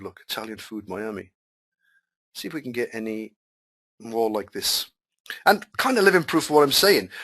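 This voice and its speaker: Husky man teaching a webinar. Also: medium pitch, a bit bright. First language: Welsh. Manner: emphatic, repetitive, walks through screen steps. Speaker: online marketing trainer.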